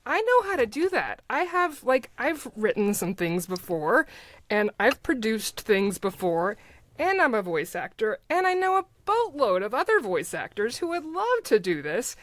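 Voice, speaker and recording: A woman voice actor telling stories casually as a podcast guest, enthusiastic, expressive and informal. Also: fairly high pitch, moderate pace, dry recording. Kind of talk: casual conversational storytelling.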